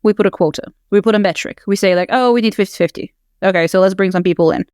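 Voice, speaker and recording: A woman's voice: medium pitch, fast, a little mellow, no room sound, clean studio sound. Emphatic woman podcast host. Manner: emphatic, persuasive, builds points in lists. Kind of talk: casual podcast conversation.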